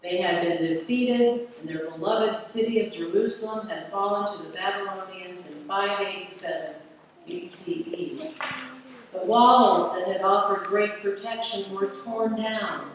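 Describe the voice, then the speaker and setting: A middle-aged woman preaches slowly and deliberately from a pulpit, leaning on rhetorical questions. She is heard from far back in a large church, her voice washed in long echoing reverb over a faint room hiss. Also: medium pitch. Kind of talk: sermon read from a pulpit. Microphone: far camera at the back of the church. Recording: echoey church hall from far off.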